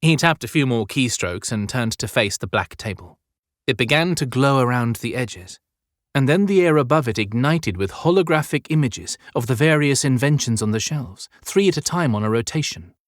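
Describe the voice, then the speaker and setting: A man's voice: mid-range voice, expressive, moderate pace, no room sound, clean studio sound. A man narrating a scripted adventure story as an audiobook narrator.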